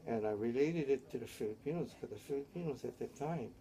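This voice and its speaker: A man's voice: mid-range voice, fast, dark tone, slight background noise, rough recording. An older man from Hawaii, telling his life story in an oral history interview, rambling and tagging his sentences with "yeah?". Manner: rambling, tags statements with "yeah?".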